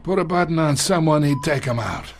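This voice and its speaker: An actor playing an aging, wounded crime boss in a video game, taunting slowly and mockingly through his pain. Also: fairly high pitch, animated. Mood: cold. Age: older. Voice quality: gravelly. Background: faint music.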